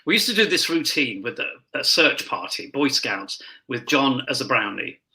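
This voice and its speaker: A man's voice: medium pitch, very animated, normal speed, vocal fry, sharp tone, low-quality recording. A man who performs as a pantomime dame, chatting casually and telling theatre anecdotes as an interview guest.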